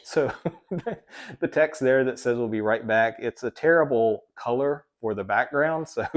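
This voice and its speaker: A man's voice: medium pitch, measured pace. Rambling Southern American man. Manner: rambling, self-correcting, reads chat aloud. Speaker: livestream host. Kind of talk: casual tech chat livestream. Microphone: desk microphone.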